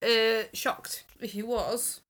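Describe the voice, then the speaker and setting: A woman's voice: on the high side, fairly even intonation, slow, a bit bright. A woman in casual conversation as a podcast panellist, with an emphatic way of talking. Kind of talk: casual chat.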